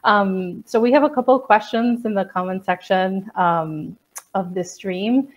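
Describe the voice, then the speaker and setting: Middle-aged woman, museum host, measured, restarts often, muffled video call. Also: mid-range voice. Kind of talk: moderating a Q&A. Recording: dull lo-fi video call audio.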